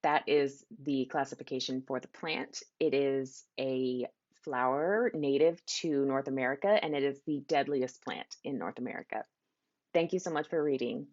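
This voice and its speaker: A woman author asking a trivia question in a measured, clear way. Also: rumbling low, darkish tone. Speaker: young adult author. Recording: dull lo-fi recording.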